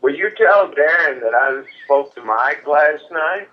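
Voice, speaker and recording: A man's voice: fairly high pitch, lively intonation, measured pace. A man taunting prank callers over the phone, rambling with lots of fillers. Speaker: prank call target. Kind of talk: phone conversation. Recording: dull phone line.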